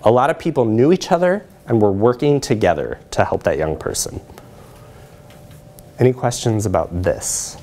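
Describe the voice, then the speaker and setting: Middle-aged male academic speaker, lower register, informal lecture style. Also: animated, moderate pace, dark tone. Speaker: lecturer presenting research. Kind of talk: conversational academic talk with questions. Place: lecture room, indoors.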